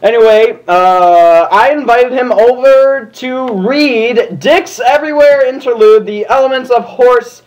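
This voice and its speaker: A man's voice: very high-pitched, a little slow, few pauses, poor audio. A man hosting a comedic fan fiction reading, jokey and casual, with plenty of swearing. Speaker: host and fan fiction reader.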